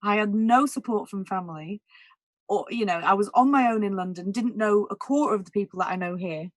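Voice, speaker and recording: Woman, jazz singer, naturally husky voice, candid and chatty with fillers, dry close sound. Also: mid-range voice, brisk, no echo. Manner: candid and emotional, many "like" and "you know" fillers. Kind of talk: casual interview conversation.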